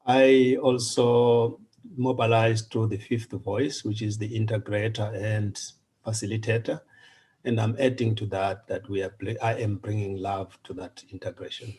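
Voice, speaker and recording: A man introducing himself in an online meeting in an earnest, deliberate way. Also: lowish voice, steady tone, darkish tone.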